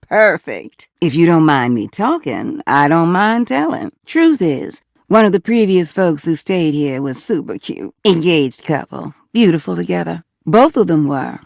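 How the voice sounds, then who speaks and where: Gravelly, flirty Southern water heater. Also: female voice, on the low side, very animated, unhurried, dry recording, clean studio sound, full character voice. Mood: flirty, gossipy. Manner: teasing, folksy, full of endearments. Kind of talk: video game character dialogue.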